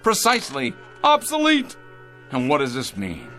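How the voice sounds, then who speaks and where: Pompous, wildly animated factory boss. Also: male voice, a little high, normal speed, faint music behind, exaggerated voice. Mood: smug, excitable. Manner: pompous, theatrical, condescending. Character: cold, pompous male factory boss obsessed with automation. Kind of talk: radio drama acting.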